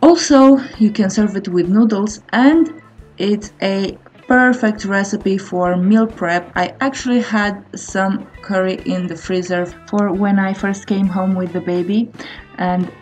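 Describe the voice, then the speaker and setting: Slow-paced woman narrating a recipe. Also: medium pitch, steady tone, a little mellow, low-quality recording. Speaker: cooking vlogger. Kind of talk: casual recipe voice-over. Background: faint guitar music.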